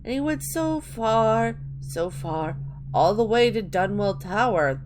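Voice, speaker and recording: A woman voicing an eerie, wistful old granny witch who rambles slowly in a dramatic reading of video game lore. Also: mid-range voice, lively intonation, noisy background. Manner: slow, wistful rambling with drawn-out endearments.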